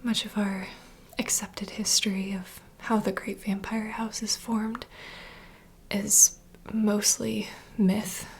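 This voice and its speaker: Female vampire bartender played by a woman, ASMR roleplay. Soft breathy whisper, confiding, unhurried mentor, clear ambient noise behind. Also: mid-range voice, little pitch movement.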